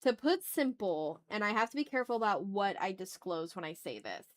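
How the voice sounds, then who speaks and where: A woman's voice: medium pitch, sing-song, a little fast. A woman chatting casually on an art livestream, thinking aloud with self-deprecating remarks and some swearing. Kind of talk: casual livestream chat while drawing.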